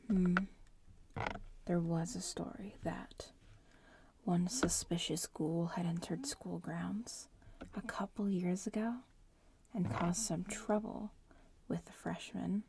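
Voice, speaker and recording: A woman plays a young witch in training, smug and a little disdainful, in an ASMR roleplay. She drifts between whispers and soft murmurs at a very leisurely pace, with a gravelly fry at the edges. The mic is close and picks up clear background noise. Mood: gossipy, reassuring. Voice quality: soft, breathy whispering mixed with low murmur. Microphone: close ASMR microphone.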